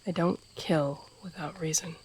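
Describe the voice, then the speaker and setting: A woman playing a stern, loyal, guarded masked assassin in a fantasy audio roleplay, speaking gravely and formally, often in a hushed breath. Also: deep voice, fairly even intonation, measured pace. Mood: grave, sincere. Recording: noisy outdoor night ambience with crickets. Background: crickets and night insects.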